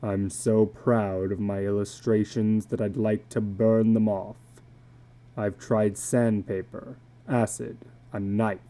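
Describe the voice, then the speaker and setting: Casting: a male reader as a haunted, bitter older carnival drifter from a short story; low, dark voice, grave and brooding. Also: level tone, takes it slowly. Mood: bitter, weary. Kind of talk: dramatic reading of a short story.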